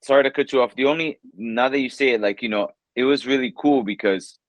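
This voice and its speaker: A man's voice: mid-range voice, quick pace, no echo, muffled recording. A man in his thirties with a New York accent, an English teacher chatting casually in an interview, rambling with lots of fillers. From New York, USA. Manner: relaxed, rambling, lots of "like" and "you know".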